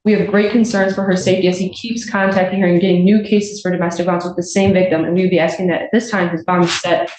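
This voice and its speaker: A woman's voice: lowish voice, level tone, quick pace, hardly any pauses, a little mellow. A woman speaking as a prosecutor, making a formal argument to a judge in court. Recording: echoey courtroom, faint background noise.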